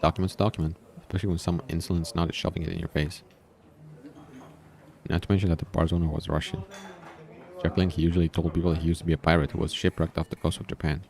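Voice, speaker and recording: A man's voice: low voice, flat delivery, quick pace, gravelly, darkish tone. A man reading a visual novel aloud, breaking in with casual, informal comments of his own. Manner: informal, reacting to the story with asides. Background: background music.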